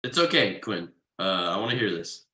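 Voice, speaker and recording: Man acting patient sardonic husband, low-leaning pitch, lively intonation, conversational comedy read, roomy echo. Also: normal speed, heavily acted. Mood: agreeable. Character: forbearing husband and father, mild, drily sarcastic. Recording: roomy, echoey online video call.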